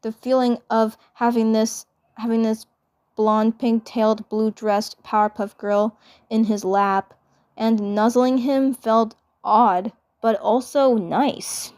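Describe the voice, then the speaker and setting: A woman's voice: on the high side, steady tone, takes it slowly, darkish tone, clean tone. A woman storyteller narrating fan fiction, often repeating her phrases.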